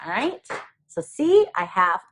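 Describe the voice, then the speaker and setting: A woman's voice: medium pitch, big pitch swings, slow pace, a bit bright. A woman teacher leading young children step by step through a craft, explaining simply and patiently with repeated phrases and little questions. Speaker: elementary school craft teacher.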